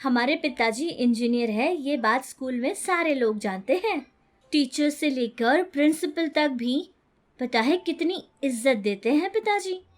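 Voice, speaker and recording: Woman acting out an earnest, high-pitched questioning schoolboy. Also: lively intonation, unhurried. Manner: pleading, questioning.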